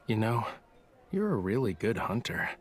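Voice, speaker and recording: A man's voice: lowish voice, very animated, moderate pace. Cool, teasing young man. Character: cool, teasing, unruffled young male artist. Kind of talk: English game voice acting.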